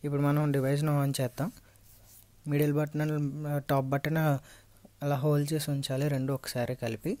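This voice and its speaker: A Telugu man narrates a practical demonstration in an unscripted way. His voice sits a little high for a man, with very little melodic movement. He explains each step plainly, as a vlogger showing viewers how it is done. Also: little pitch movement, normal speed, long pauses, mellow, no echo. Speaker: vlogger reviewing and installing gear. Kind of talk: unscripted hands-on tutorial narration.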